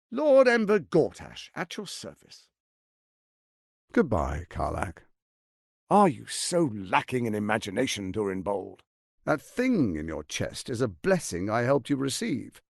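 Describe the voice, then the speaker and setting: An actor voicing a scheming, smug tyrant lord in a video game, speaking in a pompous, silky, menacing and theatrically emphatic way with a gravelly edge. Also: male voice, fairly low pitch, no room sound, clean studio sound, heavily acted. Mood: scornful. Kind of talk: dramatic video game voice acting.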